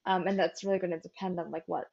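A woman's voice: on the low side, fairly even intonation, quick pace, vocal fry, a little mellow, husky. Chatty woman, slightly muffled audio. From United States. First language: Korean. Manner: chatty, frequent "like" fillers, laughs easily. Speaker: beverage company founder. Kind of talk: casual interview answers.

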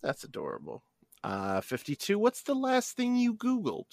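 A podcast host from New Jersey asking playful rapid-fire questions in a casual chat. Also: mid-range voice, huge pitch swings, vocal fry, darkish tone, dry recording, studio quality.

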